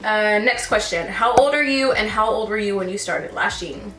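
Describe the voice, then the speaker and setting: A woman's voice: mid-range voice, moderate pace, a bit bright, reverberant, recorded from a distance, faint music behind, rough recording. A woman in her twenties chatting casually as she answers viewer questions about lash work, calling her viewers girl and sis. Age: 20s. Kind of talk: casual Q&A chat while sipping wine.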